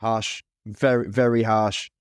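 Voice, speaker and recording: A man's voice: on the low side, flat delivery, slow, smooth, dry recording, studio quality. A man co-hosting a podcast, asking questions in a joking, playfully dramatic way. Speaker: podcast co-host. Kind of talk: casual interview hosting.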